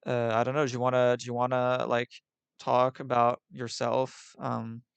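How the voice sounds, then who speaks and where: Man, partly American accent, halting speech, repeated words, gravelly voice, dry sound. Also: medium pitch, monotone, no room sound. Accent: American-sounding with slight European tinge. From Switzerland. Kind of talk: casual debate over a call.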